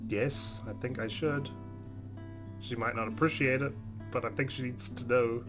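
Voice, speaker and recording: A man hosting a let's play, reading visual novel narration and inner thoughts aloud in a dramatic, expressive way. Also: mid-range voice, moderate pace, over music. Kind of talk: expressive read-aloud game narration with reactions.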